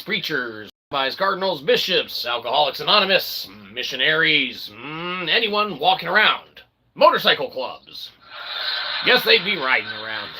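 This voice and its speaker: A man's voice: on the high side, background noise. A man hosting a comic show in a rambling monologue, with a theatrical mock-showman manner and tongue-in-cheek asides. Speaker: host of a comic philosophy show.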